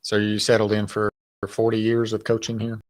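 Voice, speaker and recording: A man's voice: on the low side, completely flat delivery, brisk. A man hosting a local podcast in a casual, upbeat interview style, enthusiastic and chatty. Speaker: podcast host.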